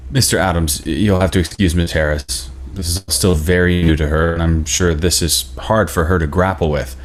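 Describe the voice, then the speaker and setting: An actor reading a male school administrator at a table read, polite and measured. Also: sits low, steady tone, lightly acted. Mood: diplomatic.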